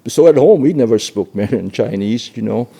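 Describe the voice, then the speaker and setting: An older man from Hong Kong giving an oral history interview, speaking haltingly with frequent repeated words and laughs. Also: medium pitch, expressive, gravelly, warm tone, breathy edge.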